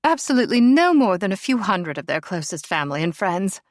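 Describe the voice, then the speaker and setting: A woman's voice: medium pitch, sing-song, a little fast, no room sound, studio quality, close to an everyday voice. A woman voicing a flamboyant aristocratic mother, grand and over-the-top. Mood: gushing.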